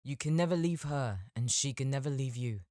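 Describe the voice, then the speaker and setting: A man's voice: mid-range voice, brisk, a little mellow, no room sound, clean studio sound, close to an everyday voice. A man playing a showy young male game mage with a theatrical, announcing manner in an audiobook. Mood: matter-of-fact.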